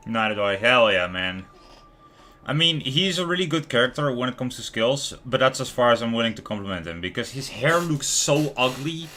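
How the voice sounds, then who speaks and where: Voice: mid-range voice, lively intonation, moderate pace, pushed and bright, a little hiss or hum. A game streamer giving casual, blunt live commentary and informal reactions to a game's dialogue. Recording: music in the background. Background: music.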